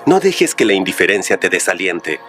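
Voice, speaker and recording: A man's voice: low voice, sing-song, a little fast, fairly bright tone, faint music behind. A man narrating an evangelism video in an earnest preaching tone and interviewing people on the street.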